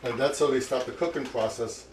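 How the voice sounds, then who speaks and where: A man's voice: mid-range voice, a little fast, noisy background. Chatty man demonstrating a recipe in his kitchen. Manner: chatty, encouraging, talks while working. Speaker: cooking show host. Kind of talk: casual cooking demonstration. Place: home kitchen, indoors.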